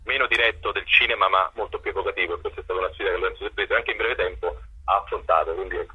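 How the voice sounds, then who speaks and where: Man, lowish husky voice, quick, digressive interview talk, slightly muffled lo-fi recording. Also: fairly even intonation. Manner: digressive, self-correcting. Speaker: actor, interview guest. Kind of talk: conversational radio interview answers.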